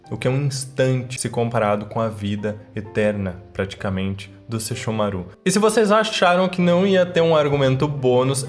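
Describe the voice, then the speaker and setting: Brazilian guy doing youtube explainer talk, medium pitched voice, music playing behind him, conversational. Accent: Brazilian Portuguese. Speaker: YouTube channel host. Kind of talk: explainer commentary to viewers, conversational top-ten list. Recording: clear background music behind voice.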